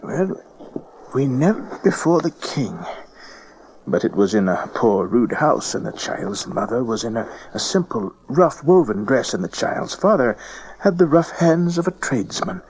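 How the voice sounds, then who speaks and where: An actor playing an aged, gentle, visionary wise man in a dramatized audio Bible, speaking in a halting, awed way. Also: mid-range voice, big pitch swings, rough recording. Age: older.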